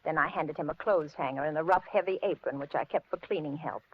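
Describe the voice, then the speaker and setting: A woman's voice: low voice, fast talker, slightly rough. An actress playing a kindly, fussing older landlady in a 1950s radio suspense drama. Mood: reflective narrating. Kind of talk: 1950s radio suspense acting and first-person narration. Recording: dull, noisy 1950s radio recording.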